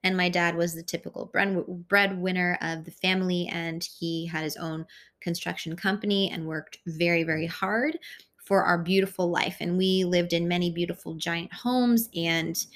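A woman's voice: on the low side, fairly bright tone. A woman whose first language is Hungarian, hosting an unscripted spiritual podcast and rambling in a self-aware way with frequent fillers. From born in Italy, raised in the United States. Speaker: podcast host. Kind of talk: unscripted solo podcast monologue.

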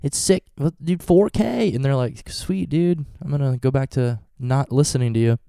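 A man's voice: medium pitch, moderate pace, vocal fry, warm tone, smooth, no room sound, clean studio sound. A man in his twenties with a stuffy cold, chatting casually in a vlog and wandering off into goofy tangents. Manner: rambling, goofy tangents and jokes. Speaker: vlogger and touring band musician.

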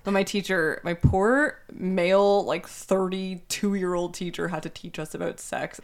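A young woman co-hosting a podcast, with a low-pitched, deep voice. She tells loose, rambling stories in casual chat and drops "like" into almost every sentence. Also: fairly even intonation, unhurried, noisy background. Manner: rambling anecdotes, many "like" fillers. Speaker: podcast co-host.

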